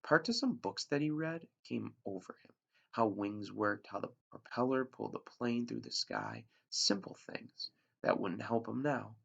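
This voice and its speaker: A man's voice: mid-range voice, unhurried, gravelly, fairly bright tone, husky. A man, a teacher, reading a novel aloud to his class, with an unpolished delivery that sometimes stumbles and restarts. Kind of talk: read-aloud storybook narration. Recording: dull lo-fi recording.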